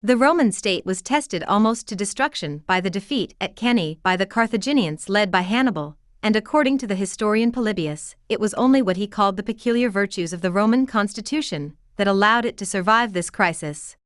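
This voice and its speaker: A woman's voice: mid-range voice, lively intonation, brisk, hardly any pauses, no echo. Woman reading aloud, dry close sound. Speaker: read-aloud example reader. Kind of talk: scripted reading of academic text.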